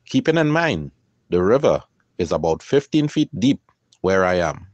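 A middle-aged man narrates in a calm, measured, explanatory way. His low voice rises and falls a good deal from phrase to phrase, and the recording is close and dry with no room sound. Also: no echo, dull audio. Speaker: kayaker and channel host. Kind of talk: scripted voice-over narration.

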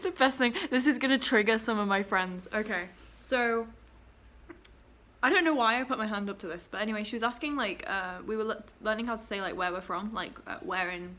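A woman's voice: medium pitch, a little fast, fairly bright tone, slight background noise. A young woman from England chatting casually to her webcam in a small bedroom, giggling and trailing off into fillers. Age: young adult. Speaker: vlogger. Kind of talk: casual chat to camera. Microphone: laptop webcam at desk.

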